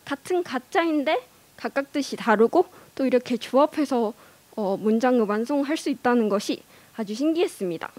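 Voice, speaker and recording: A woman's voice: a little high, darkish tone, no echo. A young woman giving a prepared speech in a learned language, careful and earnest, with small hesitations. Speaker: speech contestant.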